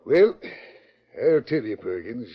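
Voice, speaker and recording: Folksy Old West sheriff, lo-fi radio. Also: male voice, medium pitch, breathy edge, exaggerated voice. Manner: drawling cowboy talk with chuckles. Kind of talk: 1940s western radio drama acting. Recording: dull lo-fi 1940s radio recording.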